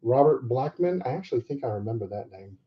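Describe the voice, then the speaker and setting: A man's voice: mid-range voice, expressive, quick pace. Casual man on a muffled livestream. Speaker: livestream co-host. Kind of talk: casual chat while opening sports cards. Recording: dull lo-fi livestream audio.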